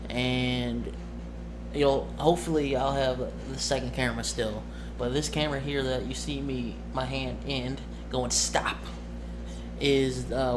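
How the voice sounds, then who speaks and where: A man talking casually and informally about his tabletop roleplaying game as game master, rambling and correcting himself as he goes. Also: medium pitch. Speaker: amateur game master, presenter of the video. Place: indoors at a desk with monitor and cameras. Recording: noisy home recording with room ambience.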